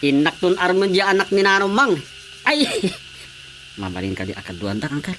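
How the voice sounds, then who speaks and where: Excitable, boyish Ilocano bachelor. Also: male voice, high voice, moderate pace, slight background noise, heavily acted. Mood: eager. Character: eager young bachelor nephew, boyish and comic. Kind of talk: Filipino radio drama comedy acting.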